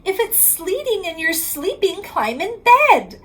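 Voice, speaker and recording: A woman's voice: extremely high pitch, very animated, a bit bright, slight background noise. A woman reading a rhyming picture book aloud to children in a sing-song way, drawing out the ends of lines. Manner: sing-song rhyming delivery, drawn-out line endings.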